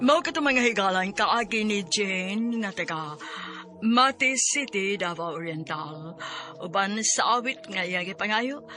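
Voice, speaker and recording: Bisaya woman announcing over loud music. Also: mid-range voice, animated, unhurried. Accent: Filipino, Bisaya. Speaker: radio drama announcer. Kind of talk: scripted narration.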